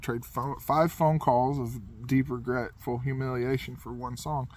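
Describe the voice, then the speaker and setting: A man's voice: medium pitch, fairly even intonation, a little slow, darkish tone. A man casually telling a comedic anecdote in a rambling, self-deprecating way, as a podcast host would.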